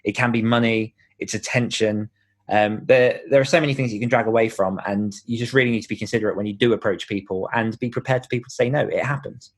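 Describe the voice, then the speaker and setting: A man's voice: fairly low pitch, steady tone, fast talker. A British man talking in an informal, explanatory way as the guest in a conversational podcast interview.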